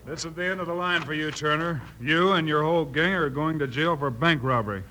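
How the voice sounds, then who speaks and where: An actor playing a brave, steady Old West marshal in a radio western, American accent, giving brisk orders. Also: male voice, a little high. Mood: commanding. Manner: brisk, commanding, clipped orders. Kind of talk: 1950s western radio acting. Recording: faintly noisy old radio recording.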